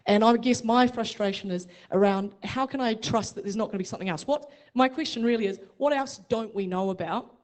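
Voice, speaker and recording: A woman's voice: on the high side, fairly quick, hardly any pauses, a little mellow. Female resident, New Zealand accent, frustrated, pointed. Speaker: resident speaking at public meeting. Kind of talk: public meeting question.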